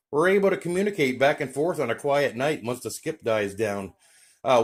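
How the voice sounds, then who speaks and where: A man with an American accent talking casually to his viewers in a folksy, chatty way, like a hobbyist vlogger. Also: a little high. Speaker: YouTube vlogger, CB radio hobbyist. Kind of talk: casual vlog talk to camera.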